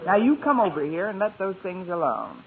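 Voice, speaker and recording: An actress playing a wry, soft-hearted single woman with a dry wisecracking manner in an old radio comedy. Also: fairly low pitch, very animated, fairly quick, gravelly. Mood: wistful, wry. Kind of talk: 1940s-50s radio comedy acting. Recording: tinny noisy old radio recording.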